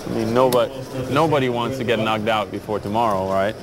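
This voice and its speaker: A man's voice: lowish voice, fairly even intonation, a little fast, crowd noise. A man from Denmark playing at a casino poker table, bantering in a cocky, teasing way. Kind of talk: casual table talk.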